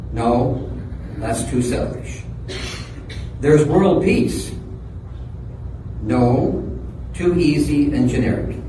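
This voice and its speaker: A man's voice: medium pitch, mellow. A man performing a dramatic reading as a reflective, wondering person musing on wishes, recorded from afar in a noisy, echoing hall. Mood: pondering. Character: reflective birthday celebrant pondering wishes and others' troubles. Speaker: dramatic monologue reader. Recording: echoey hall from far off, noisy.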